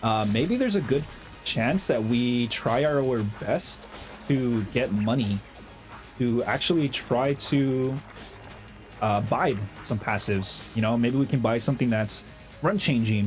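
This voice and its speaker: A young man with a slight cold, chatting excitedly over his own gameplay as the host of a gaming channel, full of slang and fillers. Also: mid-range voice. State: has a cold, slightly hoarse. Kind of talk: casual gameplay commentary.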